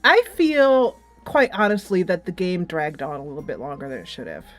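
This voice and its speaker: A middle-aged woman giving a casual, giggly video game review, animated and emphatic, drawing out words for effect. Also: lowish voice, moderate pace, soft background music.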